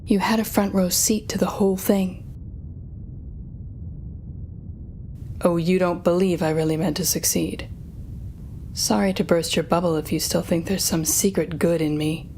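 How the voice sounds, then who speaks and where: A woman's voice: fairly low pitch, steady tone, warm tone, slight background noise, close to an everyday voice. A woman playing a sardonic, smug telepathic supervillain, taunting and theatrical as she speaks to the listener in an audio roleplay drama. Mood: cold, defiant. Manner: taunting, theatrical, drawn-out teasing phrasing.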